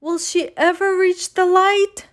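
A woman reading a children's picture book aloud in a measured, deliberate storytelling manner. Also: very high voice, steady tone. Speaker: children's storytime reader and host.

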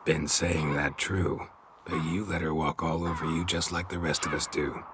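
An actor playing a dry, wry, battle-hardened monster hunter in a video game, deadpan and teasing. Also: male voice, extremely low pitch, moderate pace. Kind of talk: video game cutscene acting. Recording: clear background noise.

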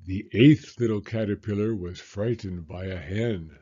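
Man, 60s, children's storyteller: low-pitched, raspy, measured delivery, slightly dull recording. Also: mellow. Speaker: story reader and host, elementary school teacher. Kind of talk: children's picture-book read-aloud.

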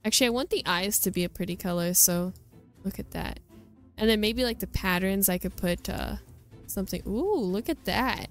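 A woman presents a game showcase in an informal, chatty way. Her voice sits at a middle pitch for a woman. Soft music plays behind her casual commentary. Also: expressive, moderate pace. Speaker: gaming video host.

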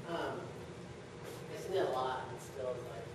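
A young man gives a relaxed lecture full of fillers and wry asides. He sounds distant from the mic in a very echoey hall, with steady background noise. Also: mid-range voice. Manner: loose, filler-heavy, wry and joking. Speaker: presenter giving a slideshow talk. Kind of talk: casual lecture.

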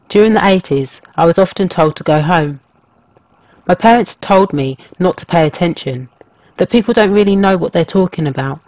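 A man reading a scripted documentary narration as a storyteller, in a measured, even way. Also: fairly high pitch, no room sound, muffled recording. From England.

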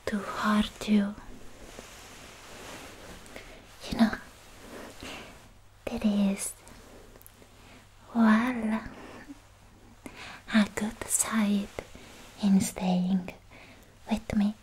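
Girl acting as a sweet lovesick demon slayer woman, whispery asmr, super slow, close mic with some hiss and clicks. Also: medium pitch, level tone, rough recording. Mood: protective, tender. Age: young adult. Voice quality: whispery, breathy soft voice. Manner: slow, halting, affectionate murmuring. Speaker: ASMR roleplayer. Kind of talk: ASMR personal attention roleplay. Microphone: close microphone, whispering near it.

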